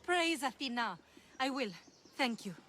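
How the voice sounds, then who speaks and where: An earnest, higher-voiced townswoman. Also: animated, measured pace, fairly bright tone, a little hiss or hum. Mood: contrite, pleading. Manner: earnest, emotional. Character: earnest, troubled Greek townswoman pleading for help. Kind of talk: video game cutscene acting.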